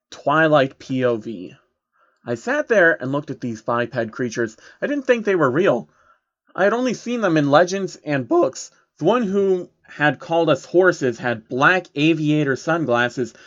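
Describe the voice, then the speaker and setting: A man's voice: a little high, a bit bright, dull audio. A man narrating an amateur story reading as the narrator of a piece of fan fiction.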